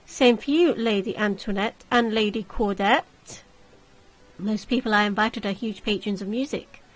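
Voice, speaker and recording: A woman voicing a suave young marquis in a gothic visual novel, speaking with measured, teasing courtesy. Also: medium pitch, natural voice. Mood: mock courtesy.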